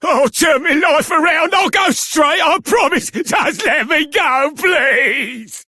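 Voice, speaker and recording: An actor playing a cheeky, roguish bandit boss in a video game, with a loud, raspy Cockney voice. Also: male voice, extremely high pitch, slow, dry recording, studio quality, heavily acted. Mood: pleading. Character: cheeky roguish bandit boss, slippery and pleading when cornered. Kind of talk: video game character acting.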